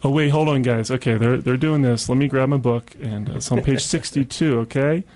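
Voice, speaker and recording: A man's voice: medium pitch, a little fast, darkish tone. A man hosting a casual radio interview in a chatty, encouraging manner. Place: radio studio.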